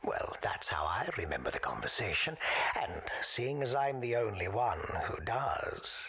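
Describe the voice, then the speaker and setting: A woman playing a wistful, erudite male caller in a radio drama, speaking formally and with measured eloquence in a husky, breathy voice. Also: very deep voice, big pitch swings, fairly bright tone, heavily acted. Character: forgotten, wistful, erudite man calling a radio show. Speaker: recurring caller on a radio show. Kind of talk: audio drama monologue, storytelling.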